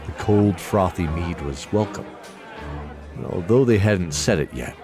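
Male narrator, deep voice, scripted fantasy storytelling, music behind. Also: measured pace, crowd noise.

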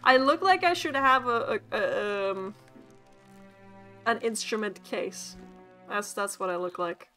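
Bright-voiced woman with a mild Swedish accent. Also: mid-range voice, measured pace, pauses often, soft background music. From Sweden. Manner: chatty, swearing, laughing often, rambling asides. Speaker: VTuber streamer. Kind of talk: casual gameplay commentary.

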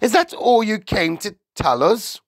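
Picture a big, bad-tempered storybook wife. She is shrill and rude, pitched far above a man's talk, with wild swoops of irritation. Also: big pitch swings, unhurried, a little mellow, dry recording, clean studio sound. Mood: ratty, impatient. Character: large, ratty, TV-obsessed middle-aged wife.

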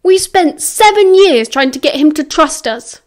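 A woman's voice: sits high, moderate pace, a little mellow, natural voice. A woman voicing an indignant teenage schoolboy in a story, bluntly scolding his best friend with British slang. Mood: outraged. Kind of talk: dramatised story reading.

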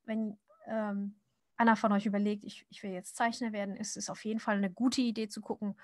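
A woman's voice: mid-range voice, little pitch movement, brisk, a little mellow, no echo, clean studio sound. A woman in her thirties, born in Japan, answering viewer questions in a casual chat while she draws, talking with many fillers and restarts. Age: 30s. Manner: chatty, many fillers and restarts, frequent laughs. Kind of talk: casual livestream Q&A while drawing.

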